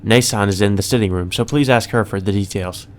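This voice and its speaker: A man's voice: fairly low pitch, a little fast, a little hiss or hum, close to an everyday voice. A man voicing a reserved, polite young maid woman who speaks formally, in a visual novel read aloud. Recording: music under the voice.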